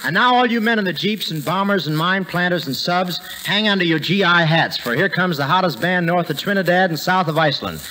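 A man's voice: high-pitched, expressive, brisk, few pauses. A man announcing a 1940s radio variety show as host, with a punchy showman delivery. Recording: noisy 1940s radio recording with audience.

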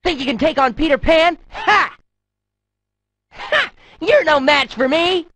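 A woman playing a cocky, adventurous boy hero in a cartoon game, with a husky, bold, breezy delivery. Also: high-pitched, lively intonation, slow, a bit bright, dry recording, full character voice. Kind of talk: cartoon video game acting.

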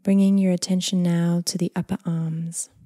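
Female meditation guide, breathy near-whisper, calm unhurried delivery, very dry close recording. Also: lowish voice, no room sound. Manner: gentle, unhurried, calming delivery with long phrases trailing off. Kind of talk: guided body scan meditation, slow and soothing.

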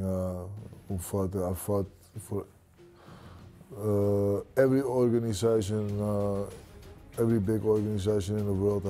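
A man in his thirties with a Dutch accent, a kickboxer speaking confidently in a pre-fight studio interview, halting with many fillers. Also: fairly low pitch, dark tone. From Netherlands. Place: studio with branded backdrop. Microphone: lapel.

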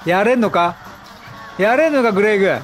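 A man giving excitable, chatty video game commentary, exclaiming often and playfully mimicking the characters. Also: sits high, brisk, faint music behind. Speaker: gameplay streamer.

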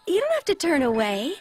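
A teasing, high-pitched teenage schoolgirl. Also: exaggerated voice. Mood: teasing, breezy. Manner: playful, theatrical, teasing. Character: teasing, bossy, self-assured middle school girl. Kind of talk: anime audio drama acting. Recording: music behind the voice.

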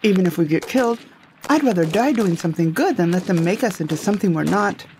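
A woman's voice: fairly low pitch, animated, quick pace, darkish tone. Defiant woman rebel, voiced by an actress, faint noise. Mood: earnest. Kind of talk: sci-fi audio drama acting.

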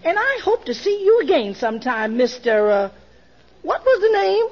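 A woman's voice: very high voice, big pitch swings, moderate pace, a crowd in the background, exaggerated voice. An actress playing a gushing, polite lady on a dinner date in a 1940s radio comedy. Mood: gracious. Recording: muffled 1940s radio broadcast recording.

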